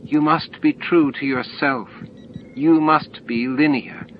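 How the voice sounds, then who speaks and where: A man voicing cryptic alien entities in an audiobook, solemn and insistent, with deliberate, stilted phrasing and pauses between words. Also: a little high, steady tone, dull audio, a little hiss or hum, voice pushed up. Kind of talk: audiobook character dialogue.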